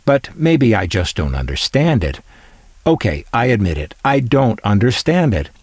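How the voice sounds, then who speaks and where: A middle-aged American man with a low voice that moves freely up and down. He talks like an opinionated collector showing off his things, wry and conversational. The recording is close and dry, with no room around the voice. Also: big pitch swings, normal speed, darkish tone, breathy edge, no echo. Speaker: collector presenting vintage transistor radios. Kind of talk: conversational video narration.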